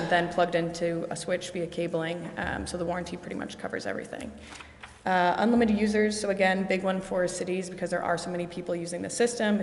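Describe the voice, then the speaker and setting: A woman representing a vendor gives a sales presentation to a city council, walking through her slides in a steady, explanatory way with frequent ums. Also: lowish voice. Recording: echoey, reverberant council chamber with faint noise.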